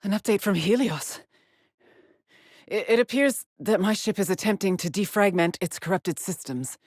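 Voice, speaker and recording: An actress playing a haunted, weary woman astronaut stranded alone on an alien world in a video game, with a breathy voice and a grave, brooding delivery. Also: mid-range voice, lively intonation, moderate pace, darkish tone, dry recording, clean studio sound, lightly acted. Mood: grave, contemplative. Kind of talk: dramatic game voice lines, monologue logs.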